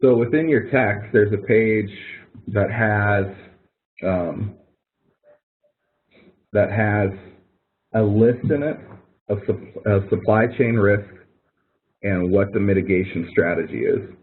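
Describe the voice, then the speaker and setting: A male instructor with a fairly low voice talks through material on a thin, narrow phone-style line. He sounds informal and conversational, coaching listeners step by step. Phrases often get repeated as he explains. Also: takes it slowly, long pauses. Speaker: college course instructor leading a webinar. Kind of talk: informal instructional webinar walkthrough. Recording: thin phone-like webinar audio.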